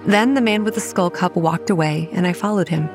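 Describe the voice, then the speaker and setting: A young woman playing a wry, anxious campground manager, giving measured first-person narration in a horror audio drama. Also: fairly low pitch, over music, close to an everyday voice. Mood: wary. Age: young adult.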